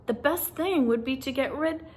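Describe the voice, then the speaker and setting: A woman voicing a prim, cautious male gargoyle in a children's storybook, formal and measured. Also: high voice, pitched above the performer's own voice, close to an everyday voice. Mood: reasoning.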